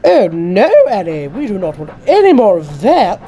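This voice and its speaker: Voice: medium pitch, sing-song, normal speed, mellow, a little hiss or hum, voice pushed up, lightly acted. A narrator voicing fussy, prim female railway coaches in a children's story.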